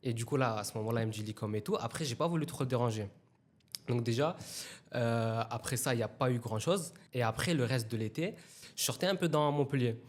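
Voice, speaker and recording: A man in his twenties, a guest from Italy, telling a story in a relaxed, slangy way in a casual interview. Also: mid-range voice, fairly quick. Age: 20s. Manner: relaxed anecdotal telling, slangy, with acted quotes.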